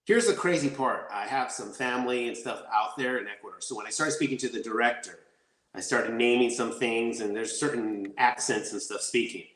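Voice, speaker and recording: A man telling stories as a guest actor in a casual interview, rambling and energetic with many restarts. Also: mid-range voice, brisk. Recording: roomy echo.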